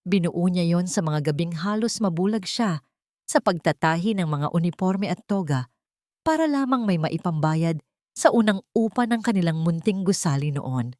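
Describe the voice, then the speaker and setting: A Filipino woman narrates a dramatic audiobook story. Her voice sits fairly low, and the recording is dry and close, with little room sound. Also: lively intonation, moderate pace, darkish tone, no echo.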